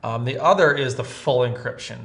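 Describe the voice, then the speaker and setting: A man's voice: medium pitch, brisk, fairly bright tone. A man with an American accent co-hosting a tutorial, explaining in a casual, conversational way and repeating points for emphasis. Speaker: co-host, police scanner seller.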